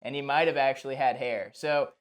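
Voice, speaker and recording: Clear-voiced man hosting a casual interview. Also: medium pitch, steady tone, brisk. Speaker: podcast host, interviewer.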